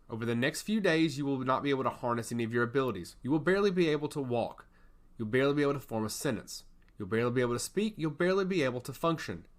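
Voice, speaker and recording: A man's voice: mid-range voice, close to an everyday voice. A man acting a commanding, emphatic god of intellect. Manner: solemn, emphatic, lecturing. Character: commanding male god of intellect, stern and sly. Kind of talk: fantasy audiobook character acting.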